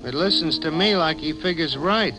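An actor playing an inquisitive, slow-witted working-class sidekick in a 1950s radio drama, with comic colloquial phrasing. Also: male voice, fairly high pitch, natural voice, rough recording. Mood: puzzled, curious. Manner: comic, colloquial, puzzled questioning. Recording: old radio recording with music.

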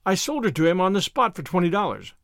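Man putting on an earnest, high-pitched teenage girl. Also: big pitch swings, fast talker, gravelly, a bit bright, no echo, studio quality, heavily acted. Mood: anxious confession. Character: earnest, dramatic, apologetic sixteen-year-old girl.

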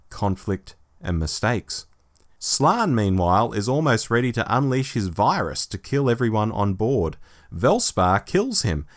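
A man hosting a podcast, narrating a scripted plot summary and reading out headings with deliberate emphasis. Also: on the low side. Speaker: podcast host.

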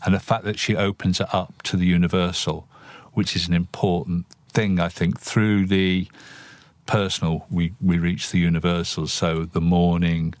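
A man with a deep voice talks as a guest poet in an interview. He is thoughtful and analytical, reflecting as he speaks. The recording is close and dry. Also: level tone, moderate pace, dry recording.

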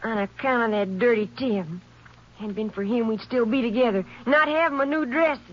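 A woman's voice: fairly high pitch, normal speed, close to an everyday voice. An actress playing a feisty, sullen country girl outlaw in a 1950s radio western, with a rural Texas accent and whiny, defiant, ungrammatical talk. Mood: resentful, sulky. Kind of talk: 1950s radio drama acting. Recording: muffled vintage radio recording.

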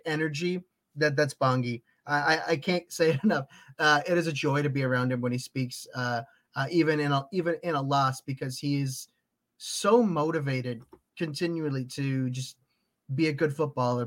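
A man's voice: medium pitch, fairly bright tone. A man hosting a casual soccer podcast in an upbeat, friendly manner, reading out chat comments. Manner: upbeat, friendly, frequent fillers. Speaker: podcast host.

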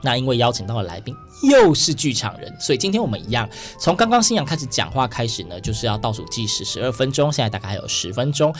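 A man's voice: medium pitch, big pitch swings, quick pace, few pauses. Taiwanese man hosting over music. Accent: Taiwanese Mandarin. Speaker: radio show host. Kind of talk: chatty hosted interview. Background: music under the talk.

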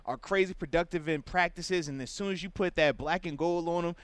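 A man co-hosting a casual sports talk show, breaking down football plays in a relaxed way and dropping "man" often. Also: fairly high pitch, a little fast, smooth, dry recording. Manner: relaxed, explains with repeated "man". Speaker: sports show co-host and analyst.

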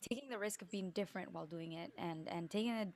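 A woman's voice: on the low side, fast, darkish tone. A young woman musician from Colombia, talking earnestly about her music and home in an interview, laughing often and restarting phrases. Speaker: musician guest. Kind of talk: reflective interview talk.

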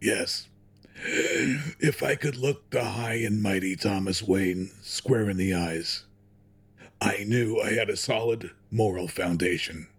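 Frail old businessman, acted by a man, deep, husky, halting, regretful, audio drama. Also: full character voice. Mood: reflective, regretful. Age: older. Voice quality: husky, frail, with throat clearing and coughs. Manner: slow, halting, reflective. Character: sickly, wheezing old corporate chairman, wistful and regretful.

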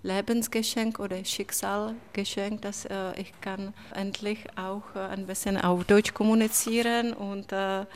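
A Czech woman answering interview questions in a learned second language, pausing often with fillers and broken grammar. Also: medium pitch, little pitch movement. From Czech Republic. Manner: hesitant, many pauses and fillers, broken grammar. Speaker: writer, interview guest.

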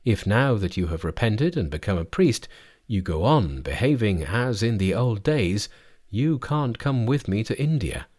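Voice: mid-range voice, sing-song, unhurried, darkish tone. A storyteller voicing a pious male priest, grave and moralizing, in a storybook tale. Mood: scolding. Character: solemn, reproachful pious priest, Monkey's master. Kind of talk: storybook character voice.

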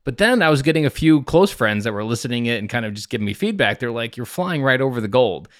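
A man hosts a podcast in a casual, earnest way, at an ordinary pitch for a man. His voice is recorded dry and close. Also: animated, fast talker, no echo. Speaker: podcast host.

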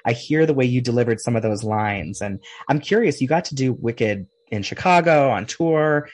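A man's voice: mid-range voice, lively intonation, moderate pace, no room sound. A man hosting a podcast, asking enthusiastic, rambling interview questions with small restarts. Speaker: podcast host.

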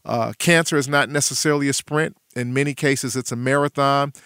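A middle-aged man, a pastor, hosting an interview in a gentle, pastoral way. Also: mid-range voice, fairly even intonation, brisk, clean tone, dry recording, clean studio sound. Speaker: pastor and host.

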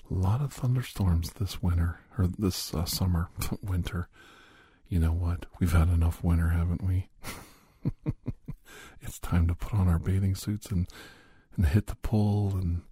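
Middle-aged guy doing asmr roleplay, super breathy husky whisper, close mic. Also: sits low, no room sound. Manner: soft, whispery, chatty and gently teasing. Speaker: ASMR roleplay host playing a caregiver. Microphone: close microphone.

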